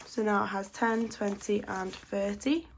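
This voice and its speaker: A woman's voice: medium pitch, unhurried, gravelly, slight background noise. A woman, chatting casually and counting cash aloud, slightly blocked up from a cold. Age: 20s. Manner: chatty, steady counting of notes. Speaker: budgeting vlogger.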